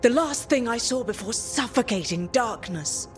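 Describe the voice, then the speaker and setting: A woman playing a weary, haunted dwarf patrol survivor in a video game, halting and solemn. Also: fairly high pitch, lively intonation, faint music behind, natural voice. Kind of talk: dramatic video game acting.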